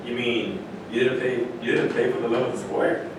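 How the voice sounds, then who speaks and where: A man's voice: fairly even intonation, normal speed, natural voice. Man playing a skeptical needler, very echoey room. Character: skeptical, needling man who challenges his peers. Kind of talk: staged play reading. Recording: echoey room, distant mic, noisy rumble.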